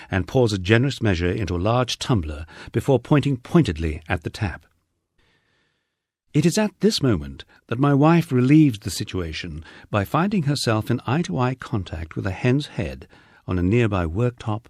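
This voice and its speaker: A man's voice: medium pitch, no echo, studio quality. A man reading a memoir as an audiobook narrator, with a wry storytelling delivery.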